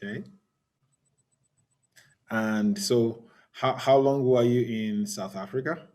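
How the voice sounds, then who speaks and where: A man hosts a conversational interview at a mid pitch. He is measured and courteous, and he pauses often on ums as he frames his questions. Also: a little mellow. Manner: measured, polite, with frequent ums. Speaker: podcast host.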